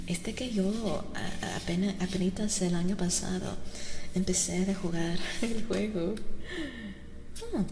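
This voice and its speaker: A woman streaming soft-spoken ASMR tarot readings, chatting in an unhurried, rambling way and often slipping into whispers. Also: on the low side, steady tone. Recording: roomy reverb with clear background noise.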